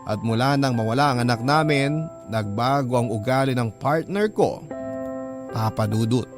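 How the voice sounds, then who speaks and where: A male actor plays a young man narrating his love story for a radio drama. His voice is mid-range, sincere and measured in a scripted storytelling style. Music plays clearly behind him. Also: mid-range voice, close to an everyday voice. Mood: reflective. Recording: prominent music bed under narration.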